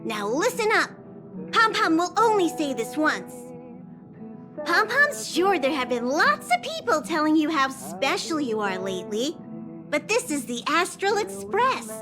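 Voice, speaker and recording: A woman's voice: very high-pitched, full character voice. A woman voicing a small, bossy creature conductor in a video game, scolding and speaking of itself in the third person. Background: background music.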